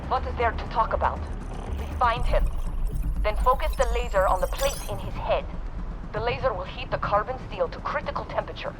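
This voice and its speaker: A woman's voice: a little high, fairly quick, noisy background, lightly acted. Woman playing a stern, terse mercenary. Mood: businesslike. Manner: terse, deadpan, formal without contractions. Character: stern female foreign mercenary, terse and ruthless. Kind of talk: video game voice acting.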